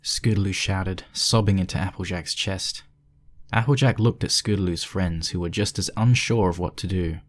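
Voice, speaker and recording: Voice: low voice, normal speed. A narrator reading a scripted fan fiction story aloud.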